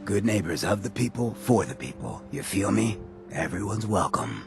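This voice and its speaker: An actor playing a swaggering, roguish ghoul town boss in a video game, cocky and folksy with veiled menace, in a gravelly voice. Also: male voice, fairly low pitch, big pitch swings, moderate pace, music in the background. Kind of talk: video game character acting.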